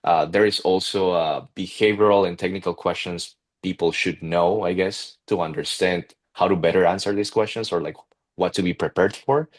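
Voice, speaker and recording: A man in his twenties with a heavy Peruvian accent, talking casually as a guest, halting with many fillers and restarts. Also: low-pitched, steady tone. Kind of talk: casual interview talk.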